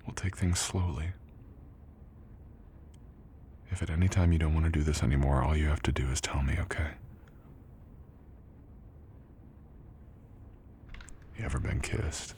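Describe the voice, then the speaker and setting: Very deep, tender young college jock, acted by a man. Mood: tender, reassuring. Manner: soft, teasing, gently reassuring. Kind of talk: intimate boyfriend ASMR roleplay. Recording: clearly audible background noise.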